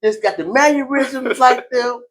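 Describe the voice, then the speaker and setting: A man hosting a podcast in a casual conversational style, animated, often repeating phrases and breaking easily into laughter. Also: extremely high pitch, a little slow. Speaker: podcast host.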